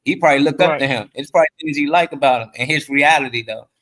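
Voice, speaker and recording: A man's voice: a little high, fast, fairly bright tone. A man giving his opinions casually on a talk show, conversational, arguing by example and quoting others.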